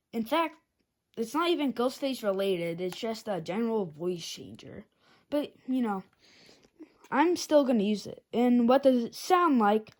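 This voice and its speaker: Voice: medium pitch, a little mellow. A casual amateur reviewer talking informally and a little hesitantly in a rambling product review vlog. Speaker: video reviewer.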